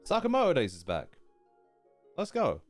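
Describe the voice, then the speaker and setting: A man's voice: fairly high pitch, wildly swooping pitch, moderate pace, faint music behind. A young man giving casual, slangy, playful reactions as he streams a game.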